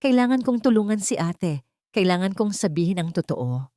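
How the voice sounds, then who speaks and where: Woman playing scared younger sister, remorseful, trembling, hushed pleading, audiobook drama, dry sound. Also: medium pitch, big pitch swings, moderate pace, dry recording, close to an everyday voice. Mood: remorseful, resolved. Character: frightened, remorseful younger sister secretly helping a captive.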